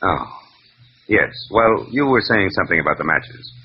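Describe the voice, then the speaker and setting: An actor playing a wry, tough, world-weary private detective in 1940s radio drama, sardonic and wisecracking in clipped lines. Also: male voice, low-pitched, expressive, moderate pace, slight background noise. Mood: suspicious. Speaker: lead actor and narrator. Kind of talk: 1940s hardboiled radio narration and acting. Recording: muffled vintage radio recording.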